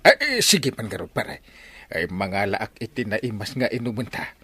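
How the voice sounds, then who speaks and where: Easily tempted village pal, male, Ilocano accent, hugely animated intonation, dry studio sound, radio comedy. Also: fairly low pitch, moderate pace, slightly rough, no room sound, exaggerated voice. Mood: eager.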